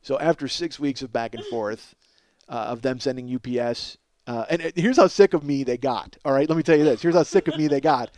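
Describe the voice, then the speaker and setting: A man's voice: medium pitch, brisk, darkish tone, no room sound. A middle-aged American man delivering a casual, profane comedic monologue as a podcast host, exasperated and self-mocking, addressing his listeners as folks.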